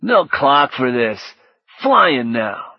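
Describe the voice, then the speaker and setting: A man's voice: medium pitch, very animated, extremely slow, creaky, dry recording, lo-fi sound, voice pushed up, heavily acted. A man voicing a spiky robot avatar with a contemptuous, slangy drawl in an audiobook.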